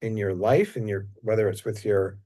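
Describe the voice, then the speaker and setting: Mellow-voiced man explaining a training course. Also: on the low side, moderate pace. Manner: relaxed and conversational, with frequent fillers. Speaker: massage teacher. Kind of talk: casual talk.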